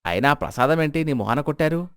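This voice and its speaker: A man's voice: fairly high pitch, lively intonation, fast. Man putting on a sly, sarcastic village beggar. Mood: mock awe. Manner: mock-pitying, theatrical, sarcastic. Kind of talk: Telugu moral-story character acting.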